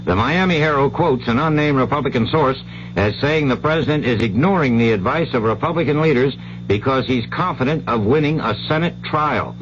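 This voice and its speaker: A man's voice: medium pitch, brisk, highs cut off, noisy background. An American man reading a scripted radio newscast as anchor on an old 1970s broadcast. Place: radio studio.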